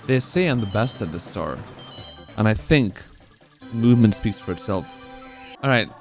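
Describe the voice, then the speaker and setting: A man's voice: on the low side, animated, moderate pace, faint music behind. A man in his twenties speedrunning on a live stream, rambling casually and answering chat between moves.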